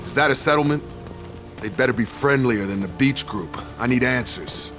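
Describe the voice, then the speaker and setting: An actor playing a troubled, loyal soldier in a video game, with a firm, earnest delivery. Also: male voice, medium pitch, moderate pace, over music, natural voice. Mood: concerned, determined. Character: troubled, loyal soldier son seeking answers.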